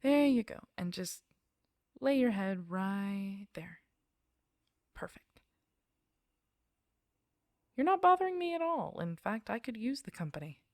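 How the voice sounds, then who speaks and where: A woman speaks as a tender girlfriend soothing a sleepy partner in an intimate roleplay. Her mid-pitched voice is soft and coaxing, with a noticeable creak. It sits close on the mic in a very dry space. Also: sing-song, unhurried, pauses often, no room sound. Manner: soft, affectionate, coaxing, murmured delivery. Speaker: audio roleplay performer playing a girlfriend. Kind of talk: intimate girlfriend roleplay sleep-aid audio, spoken to the listener. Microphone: close microphone, dry sound.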